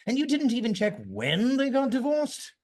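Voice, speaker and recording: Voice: sits high, big pitch swings, normal speed, darkish tone. An actor playing a cynical, verbose male poet at a table read, speaking in a pompous, florid way. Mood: incredulous, amused. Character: theatrical, cynical male poet named Alan, scornful and verbose.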